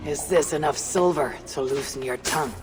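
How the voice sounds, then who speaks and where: A woman playing a bold Norse warrior and raider in a video game, speaking in a measured, blunt, grave way. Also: sits low, over music, lightly acted. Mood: firm. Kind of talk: video game cutscene acting.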